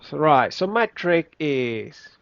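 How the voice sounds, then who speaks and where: A young man from Vietnam with a Vietnamese accent, speaking English as a second language in a casual interview, halting, with many restarts. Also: fairly high pitch, sing-song, vocal fry, no echo. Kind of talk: casual reflective chat. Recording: slightly dull, dry recording.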